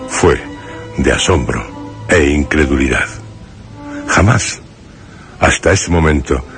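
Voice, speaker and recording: A man from Spain, with a Spanish accent, playing a brooding, haunted narrator in a suspense radio drama, speaking solemnly and deliberately with dramatic pauses. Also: rumbling low. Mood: disturbed, grave. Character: brooding, haunted man recounting his horrifying memories. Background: music behind the voice.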